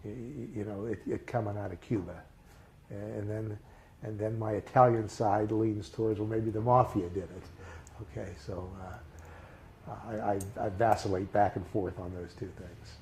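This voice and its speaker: Halting older man, soft-spoken and mellow. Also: lowish voice, fairly even intonation, slight background noise. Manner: halting, many fillers and restarts, reflective. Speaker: interviewee. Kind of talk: recalled personal memories in a casual interview.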